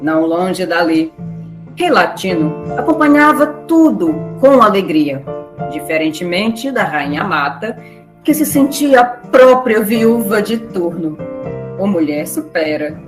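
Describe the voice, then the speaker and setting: A woman's voice: medium pitch, sing-song, measured pace, music in the background. A woman reading scripted narration for a radio drama.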